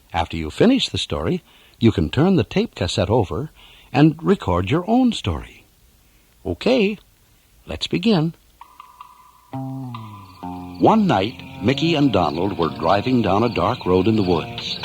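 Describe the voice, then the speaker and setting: A man's voice: lowish voice, darkish tone, breathy edge, no echo. A man giving dramatic scripted narration of a children's story over music.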